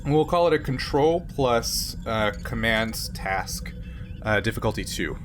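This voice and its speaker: A man's voice: medium pitch, lively intonation, measured pace. A man working as game master, narrating and refereeing a tabletop game in an improvised, explanatory way. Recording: music behind the voice. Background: music.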